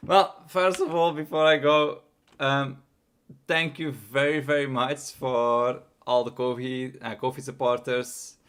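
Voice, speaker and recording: A man's voice: medium pitch, fairly even intonation, slow, a bit bright, clean tone. A young man with a worn, tiring voice streaming his programming, muttering to himself and trailing off between thoughts. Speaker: livestreaming programmer. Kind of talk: casual live coding stream.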